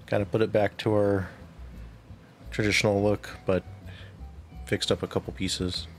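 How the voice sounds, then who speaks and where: Gravelly low-voiced man calling raid moves. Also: measured pace, faint music behind. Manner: calm, instructive callouts with short laughs. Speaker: raid leader and streamer. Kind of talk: calling raid mechanics on a game stream.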